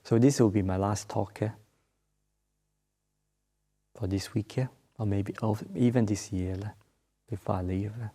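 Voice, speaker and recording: A man from Malaysia with a Malaysian accent, speaking English as a second language, giving a Buddhist Dharma talk as a monk, gentle and halting, with many filler sounds. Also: lowish voice, steady tone. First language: not English. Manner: gentle, unhurried teaching.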